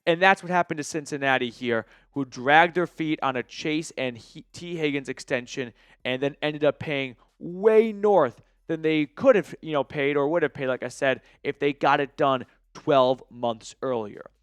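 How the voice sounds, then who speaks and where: Man, American accent, sports commentary, emphatic, conversational, crisp clear voice, dry recording. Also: fairly high pitch, moderate pace, dry recording. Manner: opinionated, argues point by point, emphatic. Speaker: sports show host.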